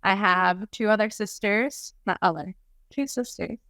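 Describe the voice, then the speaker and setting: A woman's voice: medium pitch, unhurried, a bit bright, no echo. A woman from Georgia who works in children's ministry, telling her background upbeat and chatty as a podcast guest. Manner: upbeat, chatty with many likes. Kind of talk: casual interview chat.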